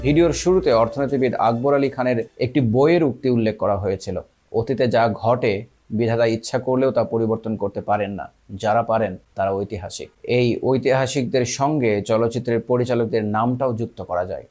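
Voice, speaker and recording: A man presents a film analysis in scripted narration. His voice sits at an ordinary middle pitch as he addresses his viewers. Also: normal speed, darkish tone.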